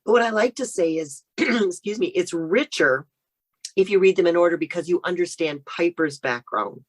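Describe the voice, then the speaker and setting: A woman's voice: lowish voice, animated, normal speed. A woman author, a guest on a podcast, explaining her book series steadily, step by step. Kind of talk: casual interview chat.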